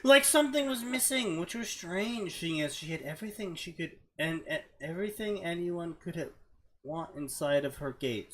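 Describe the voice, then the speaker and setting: A man's voice: fairly high pitch, slight background noise, rough recording. A man co-hosting a casual podcast, reading fanfiction aloud and breaking off with dry asides about its writing.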